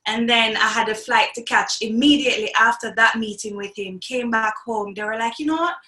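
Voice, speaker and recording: A woman's voice: fairly high pitch, fairly quick, bright, forceful tone. A young adult woman with a Jamaican accent, talking animatedly as a guest in an interview and laughing often. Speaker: actress, guest. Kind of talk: interview conversation. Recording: echoey room.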